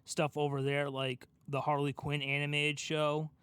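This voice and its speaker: A man's voice: on the high side, monotone, normal speed, fairly bright tone, clear, resonant tone, no echo. A man co-hosting a casual pop-culture chat, asking questions and giving his opinions in an informal way. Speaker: podcast co-host asking questions.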